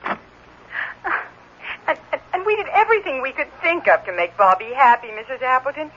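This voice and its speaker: A flustered, apologetic foster mother, high and swooping in pitch. She falters and repeats herself anxiously. It is an old radio play with muffled sound. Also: very animated, brisk, a bit bright. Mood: anxious, upset. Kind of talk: old-time radio drama acting. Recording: dull, noisy old radio recording.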